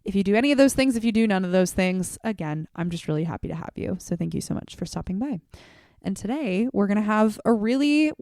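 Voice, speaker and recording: A woman's voice: medium pitch, a little mellow, clean tone, no echo, clean studio sound. A young adult woman hosting a solo podcast, chatting earnestly and welcomingly with casual fillers, her voice a little congested from a cold. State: slightly congested from a cold or allergies. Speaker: podcast host.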